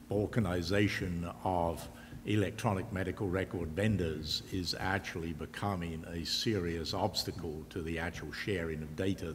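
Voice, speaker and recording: An older man speaks from a panel table in a large auditorium, choosing formal, elaborate words at a measured pace. His voice sits very low. The sound carries a long hall reverb with faint background noise. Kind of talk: panel discussion answer.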